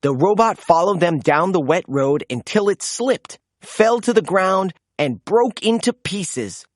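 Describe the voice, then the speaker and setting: A woman reading a children's storybook aloud as narrator, in an expressive read-aloud style. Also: on the low side, unhurried, dry recording, studio quality. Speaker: storybook reader.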